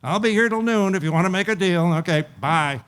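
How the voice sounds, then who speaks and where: A man's voice: high voice, fairly quick, noisy background, lightly acted. A man acting an evasive, deal-making Hollywood talent agent in a 1950s-style radio play, with a folksy, hesitant way of talking. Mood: haggling, animated. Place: live radio play performance at an event.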